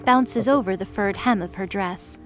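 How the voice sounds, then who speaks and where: A woman's voice: medium pitch, normal speed. Casting: woman narrator for a fantasy audio drama, mid-range voice, scripted reading, light music bed. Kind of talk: scripted present-tense story narration. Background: background music.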